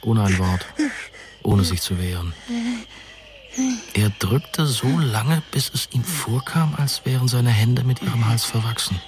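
A man's voice: medium pitch, measured pace, a little hiss or hum. A man giving scripted, dramatic narration for a German radio play. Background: prominent music.

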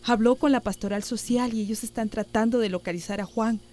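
A woman's voice: on the high side, fairly even intonation, a little fast, a little mellow, lightly acted. An actress playing an earnest, worried village mother and health worker in a radio soap opera. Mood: earnest, anguished. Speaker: actor in a radio drama.

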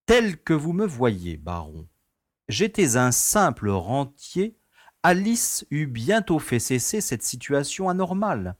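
Man playing an urbane, animated prison director. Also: on the high side, slow pace, no echo, studio quality, heavily acted. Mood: wistful, amused. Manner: wry, nostalgic, genteel storytelling. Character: urbane, easygoing prison director, former playboy. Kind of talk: audio drama acting.

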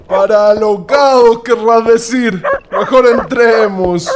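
A man's voice: extremely high pitch, slow, heavy background noise. A Chilean man acting a wry, wavering young intellectual in a radio drama.

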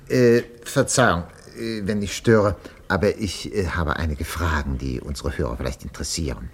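Melodramatic radio reporter, very low husky voice, intrusive, unctuous, 1950s radio drama. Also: male voice, normal speed. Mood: politely intrusive. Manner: theatrical, swinging from declaiming to hushed confiding. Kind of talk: 1950s German radio play, melodramatic live commentary.

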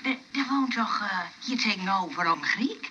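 Bright, flustered rest-home hostess. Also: female voice, medium pitch, lively intonation, highs cut off. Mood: fussy, hospitable. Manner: hesitant, halting, chattering. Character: fussy, flustered, hospitable woman running a rest home. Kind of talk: radio play acting. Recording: slightly noisy, distant radio play recording.

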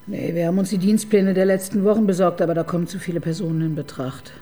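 A woman's voice: on the low side, steady tone, fast, mellow, faint music behind, lightly acted. A woman playing a shrewd, brooding police chief inspector in a radio crime drama, wry and thoughtful. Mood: pensive. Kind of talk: naturalistic German radio drama dialogue.

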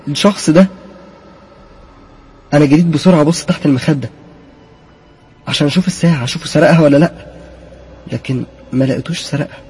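A man with an Egyptian accent playing a nervous young man who protests and worries in a hurried voice. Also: on the high side, darkish tone, husky. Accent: Egyptian Arabic.

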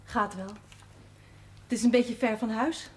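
A woman's voice: on the high side, steady tone, measured pace, darkish tone, slightly rough. A woman playing a resigned, matter-of-fact young wife and mother in a naturalistic television drama. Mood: polite, chatty. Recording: noisy old television drama soundtrack.

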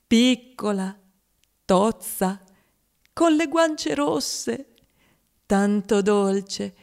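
A woman playing a wistful grown-up who was a fat child, in a deliberate, theatrical radio monologue. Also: a little high, vocal fry, darkish tone. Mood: tender, nostalgic. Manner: deliberate, dramatic delivery with drawn-out lists. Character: wistful formerly fat grown-up recalling a greedy, mother-adored childhood.

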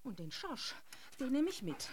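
An actress playing a fed-up wife who scolds insistently, in a radio drama. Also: female voice, sits high, very animated, a little slow, crowd noise. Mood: scolding.

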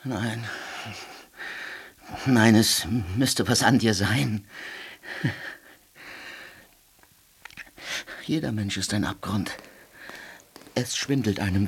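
An actor playing a tormented, jealous soldier in a radio drama, halting and obsessively repeating himself. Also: male voice, mid-range voice, slightly rough, heavily acted. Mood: anguished suspicion. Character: tormented jealous young soldier, haunted, feverish, brooding. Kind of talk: German radio drama acting. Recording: loud music behind the voice.

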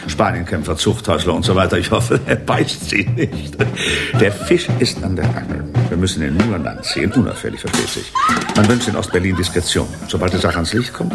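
Deep-voiced, gravelly smug wheeler-dealer. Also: male voice, heavily acted. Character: smug, jovial male West Berlin deal-maker. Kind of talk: 1980s German radio drama acting.

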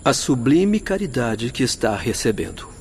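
A man playing a modest, concerned doctor in a radio drama, with a husky voice and polite, formal delivery. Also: medium pitch, big pitch swings, normal speed, a little mellow, slight background noise. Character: modest, courteous male doctor, gently concerned.

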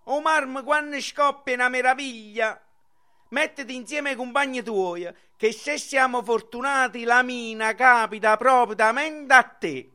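A blustering quarry boss with a high, forceful voice and a thick Neapolitan accent. The delivery is melodramatic, swinging between menace and sentiment, in theatrical radio-play style. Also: female voice, unhurried. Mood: gleeful, menacing. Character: Mangiafochino, blustering sentimental quarry boss who blasts stones.

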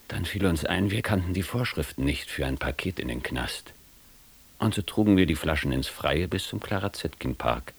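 A man's voice: extremely low pitch, reverberant. A man acting a wry, bookish East German drinker in a radio play, storytelling with mock-learned irony. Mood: reflective. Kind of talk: radio play narration and dialogue.

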